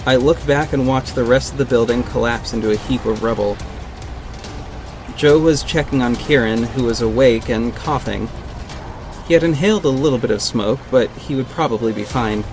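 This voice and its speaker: A man's voice: mid-range voice, normal speed, over music, lightly acted. A man playing a confident vigilante detective who narrates his own story in an earnest, dramatic audio drama style. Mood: tense, dramatic. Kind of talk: first-person audio drama narration with acted dialogue.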